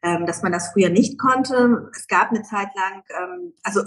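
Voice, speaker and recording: Brief: middle-aged woman, interview guest, chatty, filler-heavy, quick to laugh. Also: mid-range voice, poor audio. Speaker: guest entrepreneur. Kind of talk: casual interview talk.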